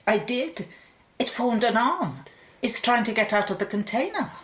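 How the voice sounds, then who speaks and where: A woman playing a calm, watchful, concerned scientist in a radio drama. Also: on the high side, moderate pace. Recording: echoey, slightly distant sound.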